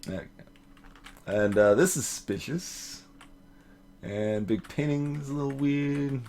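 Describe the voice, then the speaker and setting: A man's voice: mid-range voice, a little slow, darkish tone, a little hiss or hum. A man hosting a let's play, giving game commentary with wry, joking asides. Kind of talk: game commentary, reading dialogue aloud in character.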